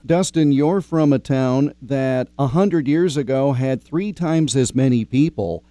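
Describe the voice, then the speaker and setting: Male public radio host from Iowa, steady conversational delivery, dry close studio sound. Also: medium pitch, no echo. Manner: measured, conversational. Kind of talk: public radio interview hosting.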